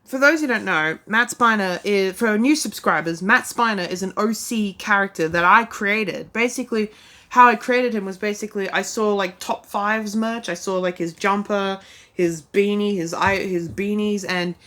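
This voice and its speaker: A woman vlogging in a loose, rambling way, her sentences full of "like" and "um." She looks back on her own channel with a self-deprecating edge. Her pitch sits in an ordinary middle range. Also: moderate pace, sharp tone. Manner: rambling, heavy use of "like" and "um". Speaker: YouTuber reviewing her own videos. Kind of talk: casual rambling vlog.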